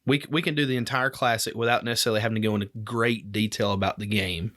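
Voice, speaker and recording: A man's voice: fairly low pitch, steady tone, quick pace, a bit bright. A man from Alabama, co-hosting a casual retro game podcast, rambling and restarting his sentences. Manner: rambling, restarts often, chuckles. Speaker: podcast co-host.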